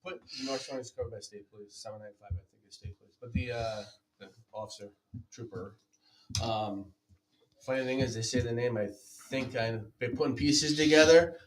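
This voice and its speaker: A man's voice: mid-range voice, a little fast, a crowd in the background, rough recording. A man chatting informally as a panelist on a talk show. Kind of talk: casual chat.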